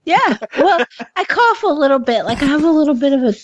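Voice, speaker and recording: A hoarse woman co-hosting a podcast in casual chat, laughing often and swearing freely. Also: sits high, very animated, brisk, dry recording. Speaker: podcast co-host.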